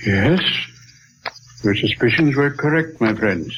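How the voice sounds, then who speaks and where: A dull 1940s radio recording with faint noise carries a learned male scientist. He is solemn and assured, lecturing in formal, deliberate phrases with old courtesy. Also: mid-range voice, lively intonation, a bit bright. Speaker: radio actor.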